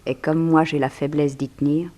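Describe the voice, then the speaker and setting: A woman's voice: low voice, level tone, normal speed, dark tone. Cool, wily female captive. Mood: cool, dry. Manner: poised, persuasive, dry. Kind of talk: 1960s French radio crime serial acting.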